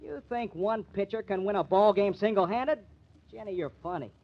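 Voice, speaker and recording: A man playing an honest, stubborn, hot-headed baseball pitcher in an old-time radio crime drama, with an American accent and slangy, defiant tough talk. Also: moderate pace, dull audio. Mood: scornful.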